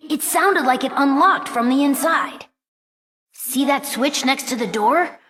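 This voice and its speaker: High-pitched earnest fox boy. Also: a little slow, fairly bright tone. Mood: focused, curious. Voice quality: youthful, boyish. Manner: earnest, articulate, technical. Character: young fox boy, earnest brainy gadget-loving sidekick. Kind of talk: video game character voice lines.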